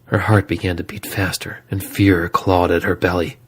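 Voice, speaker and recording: A man with a low voice narrates a scripted horror story. He tells it dramatically, as the narrator of an audio drama. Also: flat delivery, normal speed, husky.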